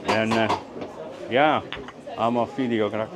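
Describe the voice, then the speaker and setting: A man's voice: on the low side, fairly even intonation, a little slow, noisy background. A man from Birmingham, UK, chatting casually as a travel vlogger, hesitant and often repeating himself. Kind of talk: casual vlog chat and narration. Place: out and about in a city. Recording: busy street and cafe chatter behind.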